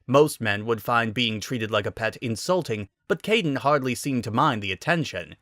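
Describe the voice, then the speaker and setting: A man narrating a scripted story as a dramatic reading, in an expressive, wry storytelling manner. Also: mid-range voice, normal speed, no echo, studio quality.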